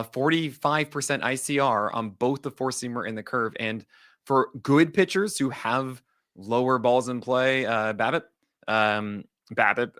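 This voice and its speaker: Bright-voiced man hosting a podcast. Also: medium pitch, no room sound, clean studio sound. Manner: jokey, quick asides, trails off and restarts. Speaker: podcast host. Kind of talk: casual baseball analysis chat.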